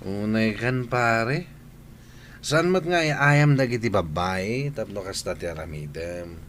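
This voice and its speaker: An actor playing a male friend in a radio drama who gives advice in a deliberate, cautioning way. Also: lowish voice, animated, a bit bright, a little hiss or hum. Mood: admonishing. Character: advising, cautioning male buddy.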